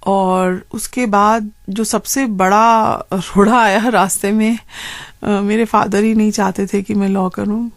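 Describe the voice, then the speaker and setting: A middle-aged woman with an Indian accent telling her story in an interview, in measured conversational phrases with English words mixed in. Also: mid-range voice, steady tone, no echo. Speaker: interviewee, senior lawyer.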